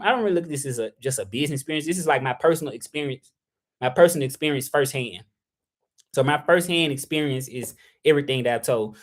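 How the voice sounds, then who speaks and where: A young adult man from Memphis with a Southern accent, talking loosely in a casual livestream about his work as an interpreter, full of "like" and "you know". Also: mid-range voice. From Memphis, Tennessee, US South. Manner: loose and rambling, with many fillers, "like" and "you know". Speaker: freelance interpreter hosting a livestream.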